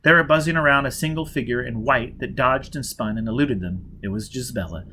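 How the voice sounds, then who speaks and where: A man narrating a novel with an expressive, dramatic delivery. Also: medium pitch, fairly quick, slight background noise. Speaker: audiobook reader.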